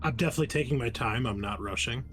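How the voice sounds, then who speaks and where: A man's voice: mid-range voice, racing, over music. A man playing a tabletop game, talking casually and colloquially in a playful, grumbling way.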